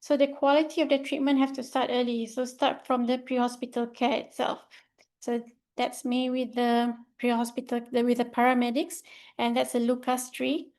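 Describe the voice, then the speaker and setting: A woman's voice: on the high side, level tone, moderate pace, darkish tone. A young woman from Malaysia, a medical trainee, presenting a report in a casual, loose way with fillers, talking from slides. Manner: informal, loose phrasing with fillers, speaks from slides.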